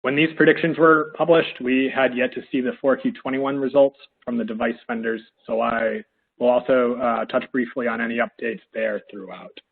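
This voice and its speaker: A man with a head cold presenting a business webinar as an analyst, speaking steadily with frequent fillers and a slightly hoarse voice. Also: mid-range voice, fairly even intonation, normal speed. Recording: tinny, phone-like audio.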